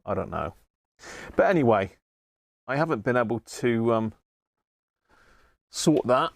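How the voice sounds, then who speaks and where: A man's voice: medium pitch, expressive, measured pace, creaky, dry recording. A man hosting a casual live repair stream, chatting and thinking aloud as he works at an electronics bench. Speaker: host of an electronics repair livestream. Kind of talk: casual live workbench commentary. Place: indoors at an electronics workbench.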